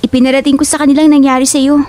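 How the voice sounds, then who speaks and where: A woman's voice: high-pitched, level tone, fast talker, darkish tone, lightly acted. A woman voicing a stubborn, devoted young woman who cares for a friend. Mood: caring. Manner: playful, headstrong, affectionate. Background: faint music.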